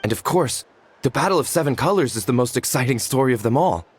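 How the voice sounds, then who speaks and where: A man's voice: medium pitch, expressive, fast talker, slight background noise, close to an everyday voice. An actor playing a modest, earnest tribesman in a video game, polite and a little hesitant. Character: modest, earnest tribesman proud of family stories.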